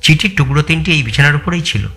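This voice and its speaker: A man's voice: a little high, lively intonation, quick pace, sharp tone. A man acting a loyal, respectful long-time employee in an audio story, giving plain deferential explanations. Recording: music bed behind the voice.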